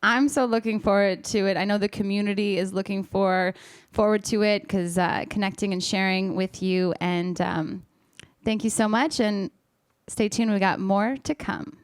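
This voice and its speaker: A woman's voice: mid-range voice, fairly even intonation, moderate pace, clear, resonant tone. A woman hosting a casual interview, speaking in an encouraging, affirming way. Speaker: interviewer and show host.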